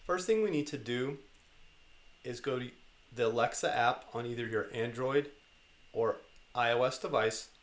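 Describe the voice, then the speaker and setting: A man's voice: medium pitch, moderate pace, long pauses. A man narrating an instructional screencast as the presenter, guiding the listener step by step. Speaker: tutorial presenter.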